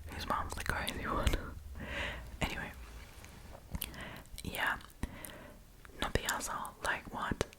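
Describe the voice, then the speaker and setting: A woman whose first language is German, speaking with an Australian accent, chatting in a whispered ASMR storytime with many "like" fillers and pauses to search for words.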